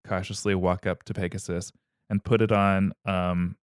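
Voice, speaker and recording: Guy casually retelling an old myth, lots of ums, low smooth voice, dry close mic. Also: flat delivery, moderate pace, no echo. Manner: rambling, joking, filler-heavy. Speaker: podcast host, storyteller.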